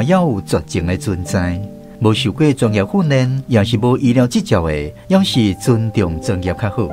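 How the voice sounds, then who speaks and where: Radio host-narrator, male, Taiwanese. Expressive scripted storytelling with wide melodic swings over clear background music. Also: medium pitch. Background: background music, plucked guitar.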